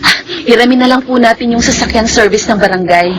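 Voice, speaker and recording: An actress playing a caring, dependable village caregiver in a Filipino radio drama, polite and reassuring. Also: female voice, a little high, quick pace, natural voice. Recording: noisy radio drama recording.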